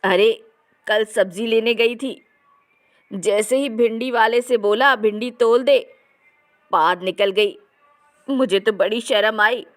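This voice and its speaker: A woman's voice: on the high side, slow pace, long pauses, faint music behind. A woman voicing an older mother-in-law in a family comedy story, chatty, confiding and practical. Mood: sheepish. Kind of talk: acted dialogue in a Hindi family comedy story.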